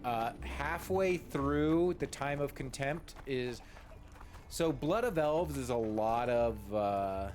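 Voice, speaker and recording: A man chatting casually and ramblingly as a game streamer, informal and halting, leaning on key words. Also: medium pitch, lively intonation, a little mellow. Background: game music.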